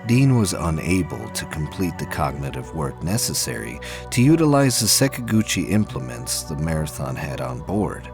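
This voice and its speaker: Very deep male narrator over music. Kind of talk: scripted dramatic science fiction narration.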